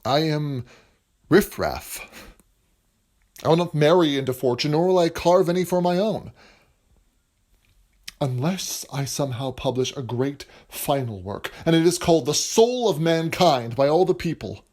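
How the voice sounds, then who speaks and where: A man playing a doleful, self-doubting gentleman playwright in an audio drama, with formal, measured period speech. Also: a little high, expressive. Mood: doleful, earnest.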